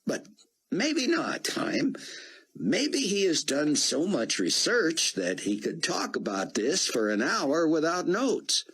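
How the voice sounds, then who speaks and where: A man's voice: fairly high pitch, wildly swooping pitch, takes it slowly, fairly bright tone, husky, pitched above the performer's own voice, full character voice. A man voicing an eager, polite young male journalist who speaks earnestly and respectfully. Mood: pleading.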